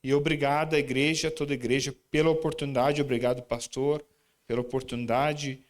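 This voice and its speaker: A man's voice: medium pitch, flat delivery, fairly quick. A man preaching in a church, introducing his student group and then moving into the sermon with chatty, informal asides to the congregation. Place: church sanctuary.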